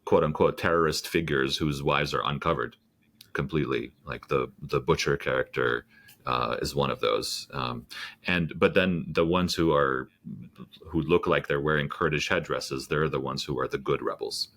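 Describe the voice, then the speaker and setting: A man's voice: extremely low pitch, moderate pace, a bit bright. A man speaking as a guest in an interview, thoughtful and hedging, with frequent fillers and restarts. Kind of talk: conversational interview.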